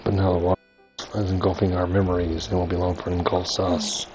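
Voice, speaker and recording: A man's voice: sits low, flat delivery, a little fast, poor audio. A man giving casual commentary as he plays through a video game. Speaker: game streamer. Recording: game music behind the voice. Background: game music.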